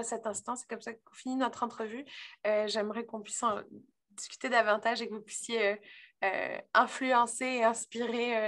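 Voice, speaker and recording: A woman host closing an interview, speaking formally with thanks and frequent hesitant fillers. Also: on the high side.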